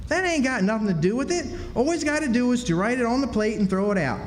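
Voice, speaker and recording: A very high, animated American boy played by a man, stubborn and full of grand schemes. He insists in folksy dialect on a radio play stage, in a hall with long reverb. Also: quick pace, a little hiss or hum. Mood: know-it-all. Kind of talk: live radio drama acting. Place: historic club hall, live performance. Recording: echoey hall stage, faint music.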